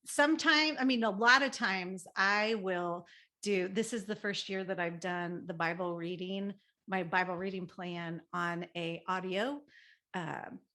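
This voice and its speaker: A woman's voice: lowish voice, a little slow, sharp tone. A woman hosting a podcast interview, chatting eagerly and affirming her guest often. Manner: eager, affirming, chimes in with agreement. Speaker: podcast host.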